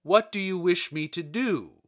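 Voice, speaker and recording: Storybook wizard, a huge floating head played by a male reader: booming, imperious, raised pitch, slow and weighty delivery. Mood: probing. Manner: imperious, emphatic, deliberate. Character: great disembodied wizard head, commanding, stern, terrible. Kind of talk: dramatic storybook character reading.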